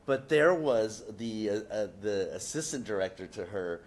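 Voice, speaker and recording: Panelist, male, lowish voice, loose filler-heavy storyteller, heats up when annoyed. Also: expressive, moderate pace. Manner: rambling, many fillers, rising indignation. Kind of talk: casual anecdotal storytelling.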